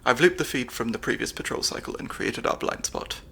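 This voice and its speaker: A man's voice: medium pitch, a little fast, creaky, slightly rough, heavily acted. A young man voicing a nerdy, slightly anxious stand-in spy handler with dry wit, in an ASMR audio roleplay. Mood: analytical. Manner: analytical, dry wit, soft-spoken.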